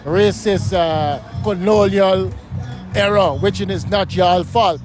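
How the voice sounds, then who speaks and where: A man's voice: high voice, slow pace, over music. A middle-aged Guyanese man being interviewed on a city street, talking emphatically and often asking "you know what I mean?". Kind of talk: street interview, casual talk.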